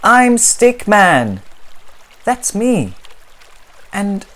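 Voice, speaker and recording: A woman's voice: mid-range voice, sing-song, very slow, pitched above the performer's own voice. A woman voicing an indignant little stick man in a children's storybook, protesting plaintively and emphatically. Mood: indignant, pleading.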